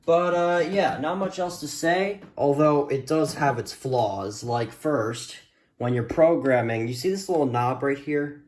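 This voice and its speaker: A man's voice: a little high, unhurried, darkish tone. A man casually showing off a gadget on a vlog, unscripted, hesitant and repetitive. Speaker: weather radio hobbyist vlogger. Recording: echoey room with background hiss.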